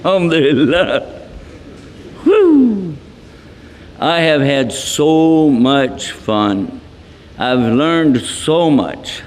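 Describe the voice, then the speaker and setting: A man's voice: medium pitch, slight background noise. Folksy Texan man lecturing in a hall. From Texas. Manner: folksy, joking, storytelling with asides. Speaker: Islamic lecturer. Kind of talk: humorous public lecture. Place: large multipurpose hall. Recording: reverberant hall from a distance.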